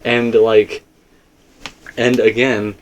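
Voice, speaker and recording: A man's voice: medium pitch, flat delivery, drawn-out words, highs cut off, slight background noise. A man talking casually and speculatively as a host, emphatic and rambling, often repeating himself. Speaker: video game theorist and host.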